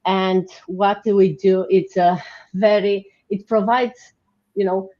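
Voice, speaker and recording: A woman with a Romanian accent hosts an informal livestream. Her pitch is mid-range and her manner is encouraging. The audio is a little dull and band-limited. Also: steady tone, takes it slowly. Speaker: host. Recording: muffled, band-limited online stream audio.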